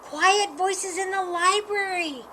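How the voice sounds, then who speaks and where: A tiny, eager storybook mouse, voiced by a woman, always cheery and polite. He has a high, bright voice and gently reassures as he goes. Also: slow, slight background noise, full character voice. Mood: gentle reminding. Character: small, relentlessly cheery, eager male mouse.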